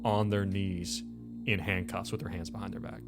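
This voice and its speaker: A man narrating a tabletop game as game master, informal and halting, leaning on filler phrases. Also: sits low, little pitch movement. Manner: informal, halting, full of "like" and "you know". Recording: music playing behind the voice.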